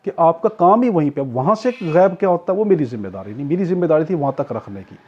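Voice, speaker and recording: Husky, higher-pitched man giving a lecture. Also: animated, quick pace, a little mellow. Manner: didactic, repeats key points, asks and answers own questions. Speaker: law tutor. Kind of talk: explanatory exam-prep lecture with everyday examples. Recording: dull, lo-fi recording.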